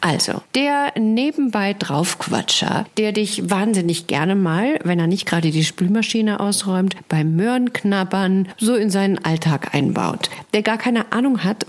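A woman delivering a humorous, conversational spoken column in a wry, expressive manner, with pointed pauses and emphasis and a breathy, husky voice. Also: medium pitch. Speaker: columnist presenting a humorous monologue.

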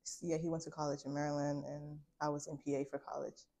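A young woman in her twenties shares a personal testimony in a low, fairly flat voice. She speaks informally and reflectively, with a candid conversational flow and a creaky edge. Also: quick pace. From Liberia, later United States. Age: 20s. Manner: informal, reflective, frequent use of "like". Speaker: guest sharing a personal testimony.

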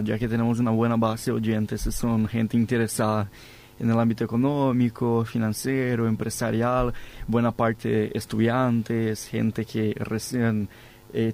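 This is a man, hosting an interview. His mid-pitched voice moves very little in melody, and he talks conversationally, often ending on a short tag question. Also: darkish tone. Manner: frequent "¿verdad?" tags. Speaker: podcast host.

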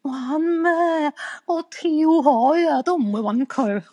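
An actress with a Hong Kong Cantonese accent playing an excitable, insistent crystal fortune-teller in a radio drama. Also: female voice, sits high, slow pace, husky, heavily acted. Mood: indignant pleading.

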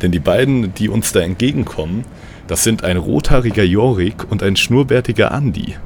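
Male host, playful mock-dramatic narration, low gravelly voice, faint background noise. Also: moderate pace. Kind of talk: improvised storytelling narration.

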